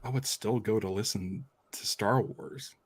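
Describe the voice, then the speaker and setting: A man's voice: on the low side, unhurried, breathy edge. A man co-hosting a podcast in casual, conversational fan chat. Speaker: podcast co-host.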